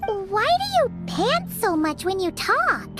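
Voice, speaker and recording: Casting: tiny childlike game sidekick, extremely high-pitched and wildly animated, inquisitive, exclamatory, quick to get exasperated. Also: female voice, loud music behind the voice, full character voice. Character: small excitable childlike floating companion, female, easily exasperated. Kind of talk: animated video game dialogue.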